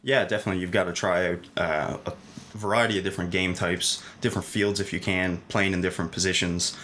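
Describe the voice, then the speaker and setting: Low-voiced guy guesting on a podcast, practical advice, bit of faint hiss. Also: fairly even intonation, quick pace, bright, forceful tone. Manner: matter-of-fact, gives practical advice. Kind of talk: casual chat. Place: studio.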